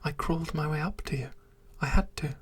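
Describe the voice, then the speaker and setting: A small scaly monster, desperately in love, whispers its pleas and says its words again and again, as a storyteller puts it on. The voice is airy and breathy throughout, with its pitch climbing when it begs. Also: brisk. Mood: pleading. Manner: whispered, imploring, repeats itself. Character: pleading lovesick scaly monster.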